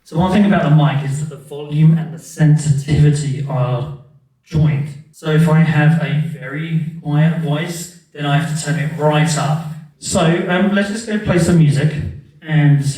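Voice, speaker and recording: A man's voice: a little high, warm tone, breathy edge, low-quality recording. A man giving a casual, upbeat product review walkthrough as a tech reviewer.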